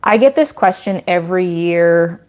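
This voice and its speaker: A Canadian woman with a slightly low voice chats casually with her livestream viewers. She explains things like a teacher and often restarts her sentences as she rambles along. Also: expressive, measured pace, a little mellow. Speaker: goat farmer and channel host.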